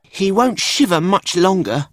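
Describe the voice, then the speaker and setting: A man's voice: high-pitched, lively intonation, unhurried, no echo, faint music behind, pitched above the performer's own voice. A man voicing a naive, proud little wooden puppet boy in a storybook, eager and childlike.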